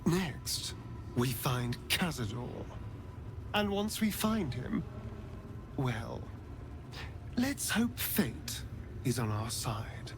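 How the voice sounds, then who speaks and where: Game role: sardonic, wounded English vampire man, slow and theatrical, noisy background. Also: mid-range voice, big pitch swings, exaggerated voice. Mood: weary, conflicted. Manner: theatrical, drawling, sighing. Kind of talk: dramatic video game acting.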